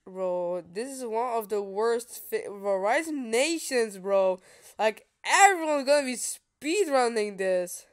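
A woman chatting casually while playing a strategy game with a friend, excitable and joking, often tripping over her words. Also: on the high side, slow. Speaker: gamer streaming with a friend. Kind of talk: casual gaming chat.

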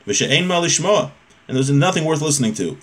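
Fast-talking man teaching informally. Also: a little high, expressive. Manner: conversational, often checks in with rhetorical questions. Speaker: religious teacher giving a lesson. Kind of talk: informal lecture, reading a text and explaining it. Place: small home room with bookshelf.

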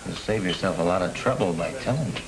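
An actor playing a determined young parolee out to clear his name in a 1930s crime film. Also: sits low, fast, background noise. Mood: cool, pressing. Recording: old 1930s film soundtrack, music bleeding in.

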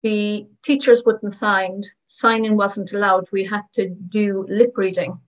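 A woman speaking as a voice-over interpreter, giving first-person testimonies of signers in short, measured phrases. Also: medium pitch, steady tone, rough recording. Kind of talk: voicing first-person testimonies in plain narration.

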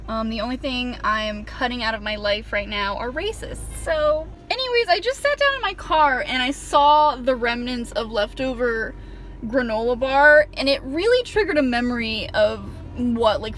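A woman's voice: on the high side, fairly bright tone. A young woman telling a self-mocking, sarcastic story in a parked car, rambling with lots of ums, recorded by a camera on the dashboard. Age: young adult. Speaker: vlogger. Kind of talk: casual storytime monologue.

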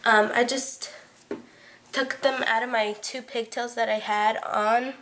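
A woman's voice: a little high, fairly even intonation, slow, a bit bright, slight background noise. A teenage girl reviewing beauty products in a casual chat to her webcam, rambling with lots of fillers. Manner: chatty, filler-heavy, lots of "like" and "um". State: voice sounds odd to her today. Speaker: beauty vlogger. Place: small bedroom, indoors. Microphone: webcam at close range. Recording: roomy bedroom echo.

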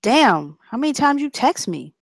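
A woman acts out a young streetwise gang member in a drama read. Her voice is fairly high and very animated. She sounds slangy, loyal and defiant. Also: moderate pace, dry recording, clean studio sound, heavily acted. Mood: irritated. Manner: slangy, defensive, casual. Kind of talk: script table read.

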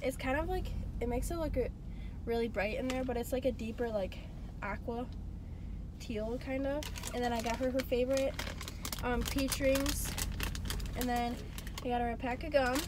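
A woman's voice: on the high side, fairly even intonation, normal speed, pauses often. A young woman vlogging from inside a parked car, sick and losing her voice, rambling casually with many fillers into a phone camera. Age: young adult. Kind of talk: casual vlog chat. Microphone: phone camera on the dashboard. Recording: phone in a car, clear background noise.

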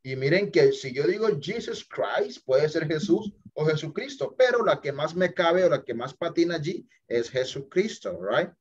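A man's voice: fairly high pitch, expressive, normal speed, hardly any pauses, husky. A man teaching an English class over a video call, walking students through an app and checking in with them often, mixing in English phrases. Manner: chatty, checks in with "listo?" and "ok?", mixes English phrases. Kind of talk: screen-share app walkthrough for students. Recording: dull lo-fi video call audio.

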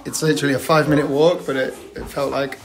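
A man's voice: on the high side, flat delivery, quick pace, vocal fry. A man talking casually to camera as a ski camp leader, explaining things in an informal, upbeat way. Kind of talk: casual vlog talk to camera. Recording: music behind the voice. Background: music.

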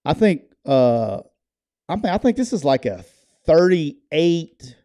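A man's voice: on the high side, very animated, takes it slowly, warm tone, no room sound, studio quality. Brash joking man on a podcast mic. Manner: brash, jokey, stammering restarts. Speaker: podcast co-host. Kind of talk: casual sports betting chat. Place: home studio with shelves. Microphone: headphones and studio mic.

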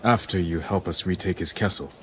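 A man's voice: sits low, fairly quick, a bit bright, slight background noise. A man playing an earnest, determined young lord of the samurai in a game drama, speaking with formal restraint. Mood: earnest, persuasive. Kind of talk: cinematic game drama acting.

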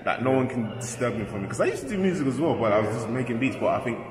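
A man in his thirties from Sweden, a painter talking casually and reflectively about his work, with halting restarts. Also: mid-range voice, very animated, a crowd in the background. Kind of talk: casual interview chat. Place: indoor art gallery with pillars. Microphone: camera mic at close range. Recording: echoey gallery with loud music behind.

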